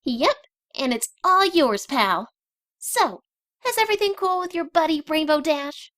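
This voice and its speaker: A friendly, breezy cartoon pegasus mare, voiced by a woman, pitched high and swinging freely up and down. She sounds casual, in a story performed as audio drama. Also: very animated, a little slow, fairly bright tone, no room sound, studio quality, full character voice. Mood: cheerful, friendly. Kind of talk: audio drama fan reading.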